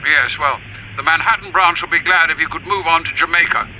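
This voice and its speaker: Discreet British spymaster, highish, very sharp tone, hesitant, radio drama, faint noise. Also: male voice, brisk, rough recording. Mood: guarded. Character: hesitant, discreet British male spy chief posing as uncle.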